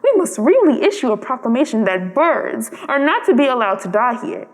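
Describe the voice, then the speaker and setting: Woman as self-important storybook mayor, fussy, disapproving, high pitch, long reverb. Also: very animated, moderate pace. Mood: indignant. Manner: pompous, fussy. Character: pompous, disapproving male town mayor.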